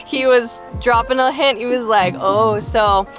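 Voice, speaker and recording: Young woman vlogging, deep-ish voice but a touch higher than most, giggly, music behind. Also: unhurried. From Bloomington, Indiana. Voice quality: deep voice for a woman, by her own account. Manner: playful, laughs often. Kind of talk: casual vlog narration. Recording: music playing underneath.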